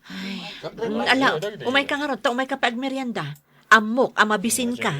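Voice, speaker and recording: A woman's voice: a little high, brisk, pushed and bright. A woman with a Filipino Ilocano accent playing a patient, long-suffering middle-aged mother in a radio serial, pleading and soothing. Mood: caring. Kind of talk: radio serial drama acting.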